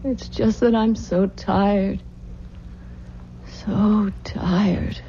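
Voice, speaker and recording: An actress playing a tender, weary, secretly fearful young wife in an old-time radio drama, speaking softly and hesitantly. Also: female voice, medium pitch, fairly even intonation, extremely slow. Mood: weary, guarded. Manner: hesitant, guarded, soft-spoken. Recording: muffled, noisy vintage radio recording.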